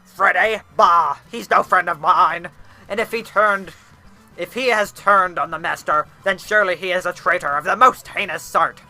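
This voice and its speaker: A person voicing a menacing, boastful flying eyeball monster from a storybook, with drawn-out, growling, bombastic exclamations. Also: mid-range voice, pushed and bright, soft background music, low-quality recording. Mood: menacing, scornful.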